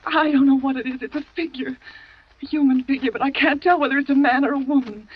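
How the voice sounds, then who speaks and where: An actress playing a frightened young wife haunted by nightmares, in 1940s radio drama style, trembling and breathless. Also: female voice, high voice, fairly even intonation, fast talker, dry recording, close to an everyday voice. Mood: shaken. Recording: dull old radio broadcast.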